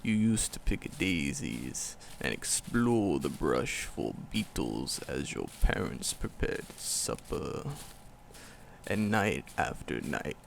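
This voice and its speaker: A man voicing an ancient, hulking forest demon, tender and wistful, in a gravelly, poetic, slow-phrased ASMR roleplay. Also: deep voice, a little hiss or hum, exaggerated voice. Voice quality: gravelly, growling creak. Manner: slow, poetic, storybook phrasing with dramatic pauses.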